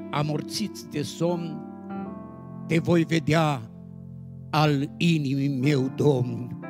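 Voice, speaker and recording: Higher-voiced man reciting devotional poetry over music. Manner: expressive, dramatic phrasing with deliberate pauses. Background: instrumental music.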